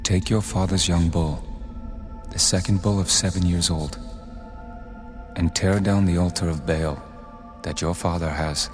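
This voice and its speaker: A low-voiced, commanding angel delivers solemn divine orders in a scripture audio drama. The speech is measured and authoritative, with music clearly underneath. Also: male voice.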